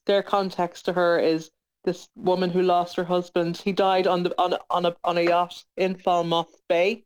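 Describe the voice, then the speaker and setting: A woman's voice: on the low side, little pitch movement, moderate pace, smooth. A woman talking informally as a radio interview guest, chatty and often correcting herself as she goes. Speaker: interview guest, playwright and producer.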